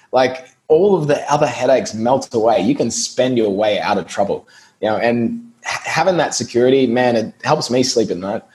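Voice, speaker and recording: An Australian man, a guest on a podcast, talking through his business in a relaxed, explanatory way and laughing easily. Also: mid-range voice. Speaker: guest, SEO business owner. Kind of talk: casual podcast interview.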